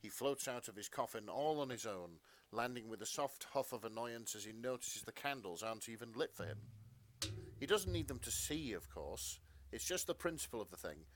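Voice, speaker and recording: A man's voice: medium pitch, lively intonation, moderate pace, a little hiss or hum. A man narrating fan fiction as a podcast co-host, in a melodramatic storytelling style.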